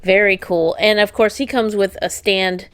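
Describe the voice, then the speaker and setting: A woman's voice: medium pitch, fairly even intonation, moderate pace. A woman co-hosting a casual unboxing show, chatty and enthusiastic as she points out details. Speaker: co-host of a toy unboxing show. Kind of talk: casual product show-and-tell. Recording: faint background noise.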